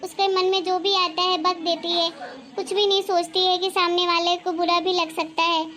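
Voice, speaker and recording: A woman voicing a worried, soft-hearted housewife and mother in a cartoon family drama, talking in a fretful, plaintive way. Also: extremely high pitch, flat delivery, normal speed. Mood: worried, upset.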